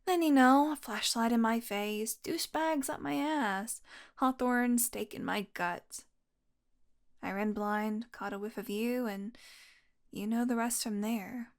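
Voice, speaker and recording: Slow, creaky-voiced wounded vampire woman, played by an actress. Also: on the high side. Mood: weary, regretful. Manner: weary, rambling, sardonic, with sighs and breathy asides. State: exhausted, in pain. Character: wounded, exhausted young female vampire, wry and foul-mouthed. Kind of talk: intimate audio roleplay monologue.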